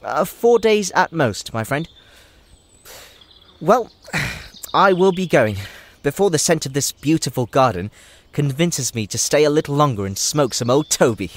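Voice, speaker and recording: Polite, whimsical older male hobbit man acting for audio drama; genial, musing, lively intonation. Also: fairly high pitch, a little slow. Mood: wistful, genial. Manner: courteous, musing, hesitant openings.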